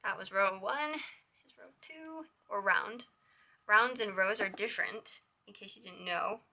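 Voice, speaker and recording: A young woman with a hoarse, raspy voice hosts a casual crochet tutorial. She chats with a lot of "like" and counts her stitches in a soft whisper. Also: mid-range voice, a little slow. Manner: chatty, with lots of "like".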